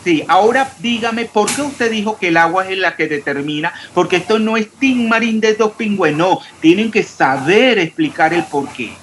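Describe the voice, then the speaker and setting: A man teaching chemistry in an online class, quizzing his students and correcting their answers. He sounds out every consonant, even the final s, and his voice sits a little high for a man. A faint hiss runs under the recording. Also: normal speed, hardly any pauses. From Panama. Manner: stresses clear diction, pronounces every s.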